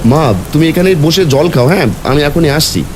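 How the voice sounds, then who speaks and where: A man voicing an anxious, devoted young son in an animated horror story, speaking in an insistent, pleading, excitable way. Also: fairly high pitch, normal speed, noisy background, voice pushed up, natural voice. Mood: caring, concerned.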